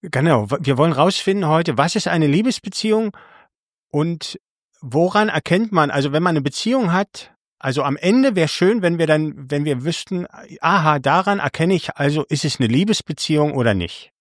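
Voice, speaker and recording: A man's voice: a little high, lively intonation, normal speed, dry recording, studio quality. A man co-hosting a casual podcast, who rambles and corrects himself mid-sentence. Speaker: podcast co-host. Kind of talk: casual chat.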